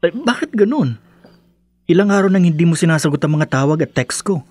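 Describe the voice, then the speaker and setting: A man's voice: a little high, expressive, a little fast, dry recording. A man playing an earnest, courteous young boyfriend in a radio drama. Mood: puzzled, insistent. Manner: earnest, gently insistent, polite.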